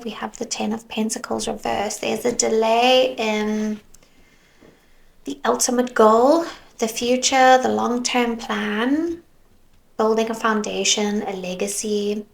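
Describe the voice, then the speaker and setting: A woman giving a tarot reading, musing softly as she goes and sometimes slipping into a whisper, her voice worn as though she is losing it. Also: on the high side, creaky, rough recording. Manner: slow, soft, musing, drifts into whispers. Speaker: tarot reader.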